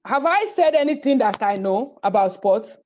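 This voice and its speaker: A woman's voice: a little high, lively intonation, moderate pace, darkish tone. A woman from Nigeria teaching an online IELTS class, firm and instructive, calling on students and checking they understand. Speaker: IELTS tutor. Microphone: video call. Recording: muffled video call audio.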